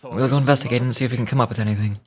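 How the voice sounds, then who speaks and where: An earnest male search leader acted by a man in a video game. He is brisk and practical, with his pitch often lifted above middle. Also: steady tone, crowd noise, close to an everyday voice. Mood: resolute.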